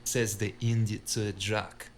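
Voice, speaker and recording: A man's voice: lowish voice, flat delivery, unhurried, vocal fry, a little hiss or hum. A male actor playing a scornful, guarded tough guy who refuses to back down, in a noir audio drama. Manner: contemptuous, guarded. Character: defiant, scornful male cyberpunk tough cornered at gunpoint. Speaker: voice actor playing the detective's opponent.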